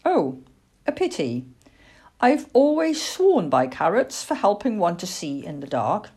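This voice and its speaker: A woman's voice: medium pitch, big pitch swings, measured pace, warm tone, breathy edge, exaggerated voice. A woman voicing a kindly, fussy old lady in a storybook, with a genteel, prim and chiding manner.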